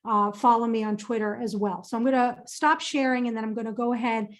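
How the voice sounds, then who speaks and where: A woman's voice: a little high, steady tone, fairly quick. A woman presenting a career webinar and answering audience questions in an upbeat, emphatic way, often repeating phrases for stress.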